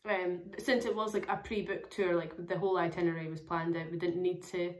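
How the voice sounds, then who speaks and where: A young woman talks casually to a camera in a small room at home. Her voice sits a little low for a woman and sounds hoarse and crackly. She rambles along with plenty of "like" and "um.". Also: little pitch movement, a little fast. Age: young adult. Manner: chatty, rambling, many fillers. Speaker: travel vlogger.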